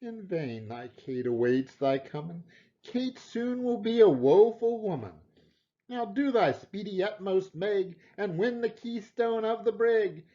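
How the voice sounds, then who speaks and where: A man giving a theatrical poetry recitation, emphatic and dramatic, with deliberate pauses. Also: sits high, lively intonation, warm tone. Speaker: poetry reader. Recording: dull lo-fi recording.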